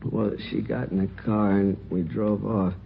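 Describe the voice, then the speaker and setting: Casting: weary, battered robbery victim, low voice, halting and sheepish, dull hissy old radio drama. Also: male voice, flat delivery, vocal fry. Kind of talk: 1950s radio drama acting. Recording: muffled, noisy old radio recording.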